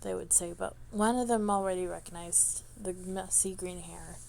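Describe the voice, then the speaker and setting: A woman reading a story aloud as an amateur narrator, with a halting delivery that stumbles over words and goes back to reread them. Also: fairly low pitch, steady tone, gravelly, darkish tone. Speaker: amateur fan fiction reader.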